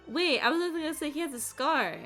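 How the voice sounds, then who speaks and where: A woman's voice: sits high, brisk, sharp tone. A woman livestreaming a video game, chatting casually and reading dialogue aloud. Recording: music behind the voice. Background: game music.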